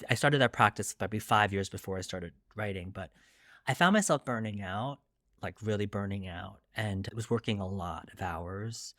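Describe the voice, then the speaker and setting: Low-voiced man in his forties, closely recorded. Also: sharp tone, dry recording, clean studio sound. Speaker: interviewed debut novelist. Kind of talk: conversational interview answers.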